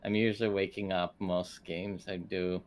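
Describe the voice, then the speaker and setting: A man's voice: low-pitched, level tone, moderate pace, slight background noise. A man chatting casually as a player in a tabletop game. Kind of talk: casual chat.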